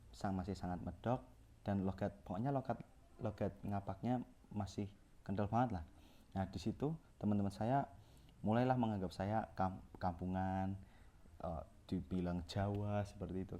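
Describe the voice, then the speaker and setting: A man's voice: low-pitched, warm tone. A young man, a communication student, reading a course presentation aloud and often stopping to correct himself mid-word. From Central Java, Indonesia. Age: young adult. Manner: reads from notes with frequent self-corrections and restarts.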